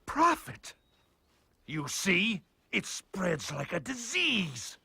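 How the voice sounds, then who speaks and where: An actor voicing a scornful, scheming villainous chieftain in an animated film, theatrical and sneering with drawn-out emphasis. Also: male voice, high-pitched, creaky, slightly rough, dry recording, full character voice. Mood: mocking, outraged. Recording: loud music behind the voice.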